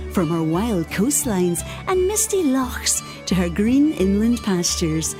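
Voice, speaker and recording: Slow promotional narrator over music. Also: female voice, medium pitch. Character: polished, welcoming promotional tour narrator. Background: guitar music.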